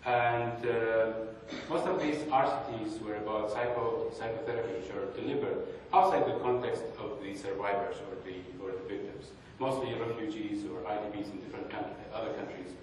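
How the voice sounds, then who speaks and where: A young man giving an academic presentation, speaking hesitantly with many pauses and restarts. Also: on the low side, a little mellow, a little hiss or hum. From Kurdistan, northern Iraq. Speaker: conference speaker. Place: large conference hall. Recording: echoey hall, distant mic.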